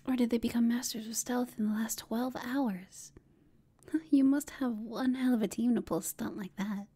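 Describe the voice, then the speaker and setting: A woman playing a flirtatious, smug female villain who taunts an enemy in a breathy whispered ASMR roleplay. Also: on the high side, fairly even intonation, warm tone. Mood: mocking, amused. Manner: slow, teasing, smug.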